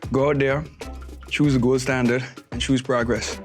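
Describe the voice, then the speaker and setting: A male candidate from St. on a hot-sauce interview. He tells animated stories, often says bro, and his voice is roughened from the pepper. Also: mid-range voice, moderate pace. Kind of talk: casual interview, campaign pitch. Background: background music.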